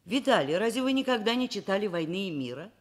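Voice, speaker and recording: A middle-aged professor who tells her own story in a Soviet radio play. Her voice is medium in pitch. She is articulate and literary, with a dry, ironic turn to her narration and dialogue. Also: sing-song, fast talker. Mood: amused, lecturing.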